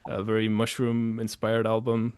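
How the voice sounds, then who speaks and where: A man from Iceland, hosting a casual interview as relaxed conversation. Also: on the low side, normal speed, clean tone, no echo. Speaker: podcast host.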